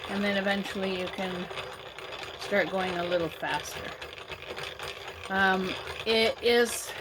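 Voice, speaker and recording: A woman hosting a casual crochet tutorial. She sounds sniffly from a cold and chats slowly, with lots of "um" and "uh" between steps. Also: mid-range voice, very noisy. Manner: slow, chatty, with many fillers and pauses. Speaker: crochet channel host.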